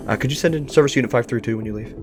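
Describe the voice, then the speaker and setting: Quick-talking man hosting an interview. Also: mid-range voice. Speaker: talk show host, interviewer. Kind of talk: casual in-character interview. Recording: music under the voice.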